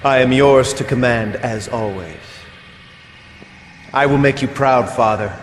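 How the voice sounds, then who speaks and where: A man's voice: mid-range voice, a little slow, natural voice. A man playing a proud, resentful soldier son in a video game cutscene, speaking formally and stiffly to his father. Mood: submissive. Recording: hall reverb, faint noise.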